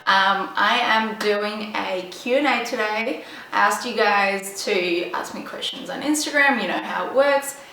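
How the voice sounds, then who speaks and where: A woman's voice: mid-range voice, measured pace, bright, forceful tone, slight background noise. A woman in her twenties from Estonia, chatting loosely in a Q&A vlog, full of ums and likes. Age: 20s. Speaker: vlogger. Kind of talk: casual Q&A to camera. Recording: echoey room, mic at a distance.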